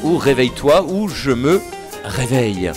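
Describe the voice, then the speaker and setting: A man hosting a music quiz with lively banter, in a mock-formal, teasing manner. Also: mid-range voice, measured pace. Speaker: game show host. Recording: loud music behind the voice.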